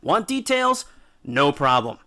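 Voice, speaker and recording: Male storyteller host, slightly high voice, colloquial and dramatic scripted narration with punchy emphasis. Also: very animated, slow pace, pushed and bright. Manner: emphatic, informal, dramatic phrasing. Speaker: host and storyteller of a mythology series.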